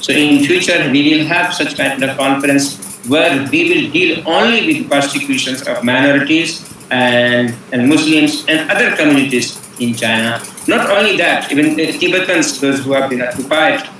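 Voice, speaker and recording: A man's voice: medium pitch, moderate pace, a bit bright. A man with an Indian accent chairing a webinar in formal but unscripted, courteous and somewhat hesitant phrasing. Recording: very echoey, distant mic, faint background noise.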